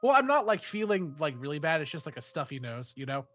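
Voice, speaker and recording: A man with a slightly high, congested, nasal voice, nursing a head cold. He runs a tabletop game in a relaxed, rambling way, full of fillers and casual swearing. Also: quick pace. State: stuffy nose from a head cold. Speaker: game master. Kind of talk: running a tabletop game over voice chat.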